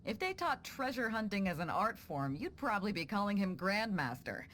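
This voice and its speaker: A woman's voice: medium pitch, sing-song, moderate pace, a bit bright, a little hiss or hum. An actress playing a bold, confident female pirate captain in a video game, breezy and self-assured, casual yet commanding. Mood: amused, confident.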